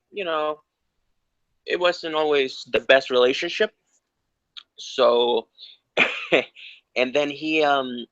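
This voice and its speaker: A man's voice: mid-range voice, sharp tone, dry recording. Earnest man talking about comics. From Ecuador. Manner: earnest, rambling, lots of restarts. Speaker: interviewee. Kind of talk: casual interview chat. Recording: dull lo-fi sound.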